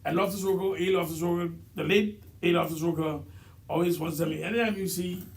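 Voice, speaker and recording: A man chatting on a podcast, halting and repeating his words as he makes a serious point. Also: high-pitched, steady tone, recorded from a distance. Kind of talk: casual podcast chat. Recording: roomy echo.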